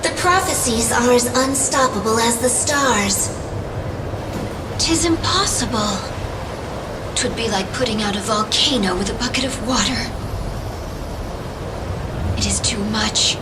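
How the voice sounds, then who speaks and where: An actress playing a brave, sorrowful young Valkyrie princess in a fantasy game, speaking solemnly in theatrical, old-fashioned phrasing. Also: female voice, fairly high pitch, unhurried, pauses often, very noisy, natural voice. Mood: grave despair. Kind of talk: dramatic fantasy game voice acting. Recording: music behind the voice.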